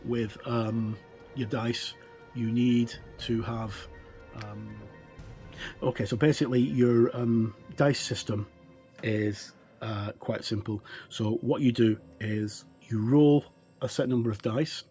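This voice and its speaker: A middle-aged man giving an unscripted walkthrough commentary as a game reviewer, in a halting, hesitant way with trailing phrases. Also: mid-range voice, fairly even intonation, warm tone, faint music behind.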